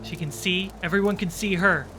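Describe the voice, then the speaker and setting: A game master with a mid-pitched voice narrates a tabletop roleplaying game. The storytelling is descriptive and suspenseful. Clear noise runs behind the voice. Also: moderate pace.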